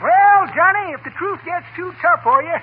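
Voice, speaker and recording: A man's voice: very high voice, moderate pace, crowd noise. An actor playing a cheery, teasing old man with a drawl in radio comedy. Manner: folksy drawl, wry joke-telling. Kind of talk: 1940s radio comedy acting. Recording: tinny, noisy 1940s radio broadcast.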